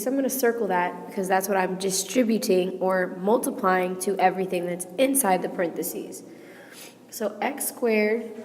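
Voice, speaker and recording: A woman teacher with a hoarse, failing voice, patiently walking students through a math lesson step by step and checking in with "okay?". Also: medium pitch, a little mellow, long reverb tail, a little hiss or hum. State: sick, losing her voice. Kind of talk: recorded classroom lesson.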